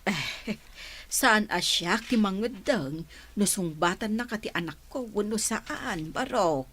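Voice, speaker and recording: An actress with an Ilocano accent playing a young woman's older mother, stern but kind, with a husky voice. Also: medium pitch, expressive, slow, a bit bright, full character voice. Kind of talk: radio drama acting.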